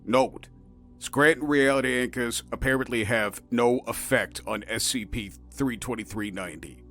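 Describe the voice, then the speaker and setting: A man reads scripted narration as a formal, clinical report. His pitch sits in the middle range. Faint music plays behind the narration. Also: moderate pace, a bit bright.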